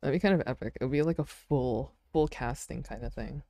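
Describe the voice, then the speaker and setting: A woman streamer chatting casually with friends while she draws, relaxed and laughing often with lots of fillers. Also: extremely low pitch, a little fast, no room sound, clean studio sound. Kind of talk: casual chat while drawing.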